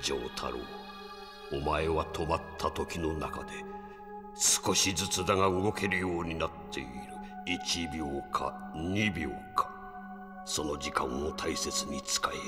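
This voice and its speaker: Deep-voiced, solemn old grandfather. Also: over music. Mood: grave, urging. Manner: grave, deliberate, old-man speech. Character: old grandfather's spirit, solemn, calmly counseling his grandson. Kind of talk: Japanese audio drama acting.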